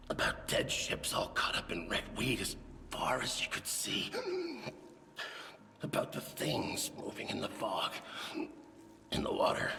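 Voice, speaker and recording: A man voicing a haunted sailor turning monstrous in a video game, speaking haltingly in a gravelly, rasping voice that breaks into whispers. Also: on the low side, bright, forceful tone, full character voice. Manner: halting, tormented, intense. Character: haunted sailor slowly changing into something monstrous. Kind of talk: dramatic cutscene monologue. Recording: cavernous reverb with noise.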